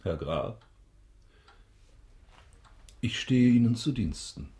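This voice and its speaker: An actor playing a self-important banker baron, formal and stiffly deferential, in a German radio drama. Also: male voice, mid-range voice, lively intonation, slow pace, dark tone, echoey room.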